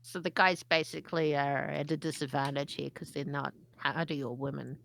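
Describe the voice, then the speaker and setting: Female tabletop player, low creaky voice, casual joking banter, faint background noise. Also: steady tone, moderate pace, fairly bright tone.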